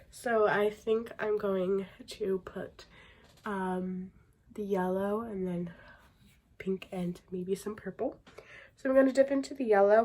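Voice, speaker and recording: A young woman chatting casually through a makeup tutorial, murmuring and trailing off as she works, with a slightly raspy voice from getting over a sickness. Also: medium pitch, darkish tone. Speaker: beauty vlogger.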